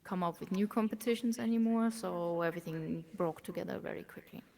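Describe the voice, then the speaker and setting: German woman talking esports in an interview, lowish gravelly voice, drops to almost a whisper sometimes. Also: brisk, a crowd in the background. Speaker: esports operations manager. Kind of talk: casual interview chat.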